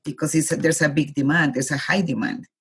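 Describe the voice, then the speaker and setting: A Colombian-born woman in her forties, a guest with a husky voice, talking reflectively in a casual interview and laughing easily. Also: deep voice, fairly quick.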